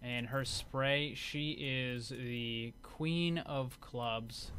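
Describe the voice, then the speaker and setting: Bright-voiced man doing casual game commentary. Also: mid-range voice, steady tone, drawn-out words. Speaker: gaming video host. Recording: clear background noise.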